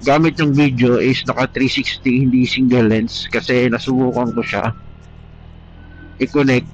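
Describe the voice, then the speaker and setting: A man's voice: mid-range voice, flat delivery, moderate pace. A Filipino man with a stuffy, congested voice from a cold, chatting casually and a bit hesitantly as a motovlogger, slipping into English phrases. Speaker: motovlogger reviewing gear. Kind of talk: casual vlog chat. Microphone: helmet Bluetooth intercom. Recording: dull, noisy Bluetooth intercom mic, vehicle sound.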